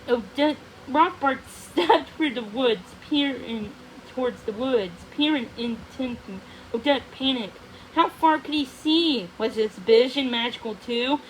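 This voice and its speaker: A woman narrating a storybook aloud, haltingly, often stumbling and going back over phrases. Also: sits high, slight background noise, poor audio.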